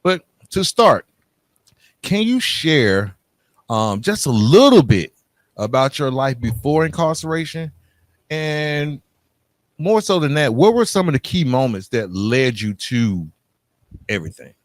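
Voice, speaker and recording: A man speaks as the host of an interview podcast. His voice sits at an average pitch in a dry recording with very little room sound. He keeps an informal, encouraging manner. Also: very animated, unhurried, pauses often, no room sound.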